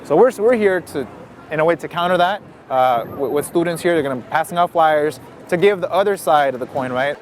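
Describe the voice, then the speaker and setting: A man, a community organizer and teacher, speaks in a fast, heated interview outdoors, with many fillers. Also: fairly high pitch, animated. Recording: noisy street audio.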